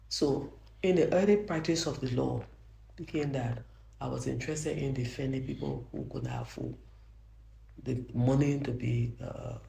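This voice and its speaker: Older woman, Liberian accent, soft voice, earnest, roomy echo. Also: rumbling low, creaky. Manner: earnest, frequent restarts, grows emphatic. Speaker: judge. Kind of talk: interview answers, reflective storytelling.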